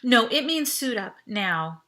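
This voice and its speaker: A woman's voice: a little high, very animated, takes it slowly, bright, forceful tone, heavily acted. A woman voicing a capable, wry young space-cart driver in an audiobook, speaking with urgency and reassurance. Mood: urgent. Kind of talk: audiobook character dialogue.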